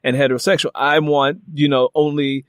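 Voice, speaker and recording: A man's voice: on the high side, flat delivery, a little fast, clear, resonant tone, dry recording, clean studio sound. A man hosting a casual interview, telling personal stories in a meandering way peppered with "you know". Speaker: interviewer and host.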